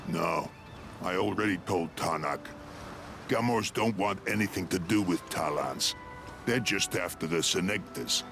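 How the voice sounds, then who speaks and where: An actor playing a suspicious, moody alien club leader in a video game, speaking in a guarded, grave manner. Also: male voice, low voice, unhurried, vocal fry, music in the background. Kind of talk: video game cutscene acting.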